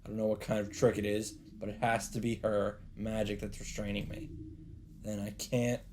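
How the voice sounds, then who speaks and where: A man's voice: lowish voice, little pitch movement, moderate pace, a little mellow. Man dramatically reading a game aloud. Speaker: let's player. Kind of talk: reading a visual novel aloud, with casual commentary. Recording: faint noise, game ambience behind.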